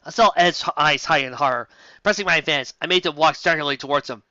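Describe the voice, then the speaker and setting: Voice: mid-range voice, fast talker, pushed and bright, no room sound, voice pushed down, close to an everyday voice. A reader playing a melodramatic, self-important young heroine narrating a story with solemn, overwrought delivery, slightly lo-fi. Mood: grim, dramatic.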